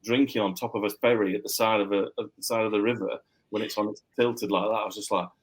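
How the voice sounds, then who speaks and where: A man with a low voice and a mixed northern English accent talks as a guest author. He rambles easily, doubling back on his words with plenty of fillers. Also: little pitch movement, fast, rough recording. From Yorkshire, England. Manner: rambling, with many fillers and restarts. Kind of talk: casual interview chat.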